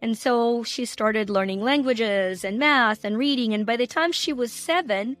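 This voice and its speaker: A woman's voice: on the high side, measured pace, fairly bright tone, no echo, soft background music. A middle-aged woman from Ukraine with a Ukrainian accent, telling her personal story emphatically as an interview guest. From Ukraine, former Soviet Union. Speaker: guest, entrepreneur and coach.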